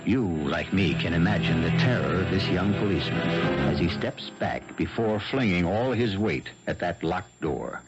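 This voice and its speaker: A man hosting and narrating a 1970s radio mystery show in an ominous, theatrical scripted style. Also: sits low. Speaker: host and narrator. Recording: dull 1970s radio broadcast. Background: loud music.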